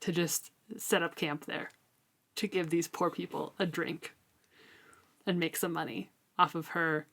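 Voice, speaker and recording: Casual, low-voiced woman chatting. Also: fairly even intonation, unhurried, fairly bright tone. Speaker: book discussion podcast co-host. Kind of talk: casual chat about a novel.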